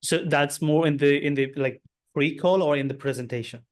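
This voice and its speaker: A man's voice: fairly high pitch, moderate pace. A man, asking a sales coach questions on a video call, searching for his words. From India. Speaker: coaching client.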